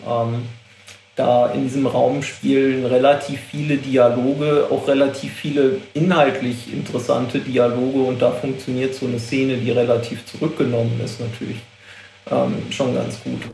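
A man's voice: mid-range voice, level tone, moderate pace, creaky, a little mellow, echoey room, slight background noise, rough recording. A man explaining production work informally in an interview, hesitant and correcting himself as he goes. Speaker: visual production expert.

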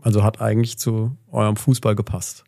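A man co-hosting a podcast in casual chat, joking and teasing and quick to slip into silly voices. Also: lowish voice, flat delivery, gravelly, warm tone. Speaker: podcast co-host.

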